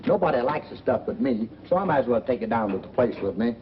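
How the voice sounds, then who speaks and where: An actor with an American accent playing a genial, rambling, beer-loving small-town uncle in a 1940s film, folksy and chatty. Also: male voice, mid-range voice, animated, fast talker, heavy background noise, close to an everyday voice. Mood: genial, sheepish. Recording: dull old film soundtrack, music behind.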